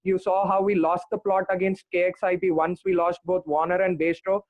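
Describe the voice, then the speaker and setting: A man with a high voice comes through a dry, tinny, phone-like line. He speaks as a podcast guest, earnest and steady, and often stops on "uh" between thoughts. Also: dry recording. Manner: earnest, many "uh" pauses. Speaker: podcast guest, cricket fan. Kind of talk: casual podcast chat.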